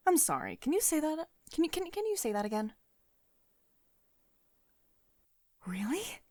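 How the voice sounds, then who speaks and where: A woman's voice: high voice, very animated, fast talker, dark tone, no room sound. A woman acting a devoted lady CEO, close and dry. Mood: surprised, hopeful. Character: commanding, protective female tech CEO, secretly devoted and tender. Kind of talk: ASMR girlfriend roleplay, intimate acted monologue.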